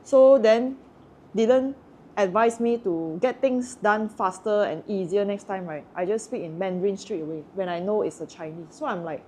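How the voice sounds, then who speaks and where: A woman's voice: medium pitch, moderate pace, mellow, slight background noise. A woman from Malaysia with a Malaysian English accent, chatting casually and giving strong, indignant opinions. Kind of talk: casual chat.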